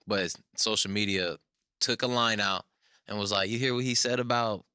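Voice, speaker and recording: A man's voice: on the low side, fairly even intonation, moderate pace, bright, forceful tone, a little hiss or hum. A man co-hosting a casual podcast interview, chiming in with slangy follow-up questions. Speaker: co-host.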